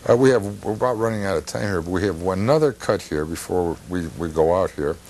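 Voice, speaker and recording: A man hosting a show in casual, halting chat, often repeating himself. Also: low-pitched, lively intonation, a little mellow, a little hiss or hum. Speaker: TV show host.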